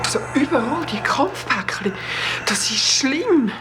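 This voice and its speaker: A woman's voice: high-pitched, big pitch swings, a little slow, over music. A woman with a Swiss German accent playing an anxious old female teacher parcel in a children's radio play, in a fretful manner.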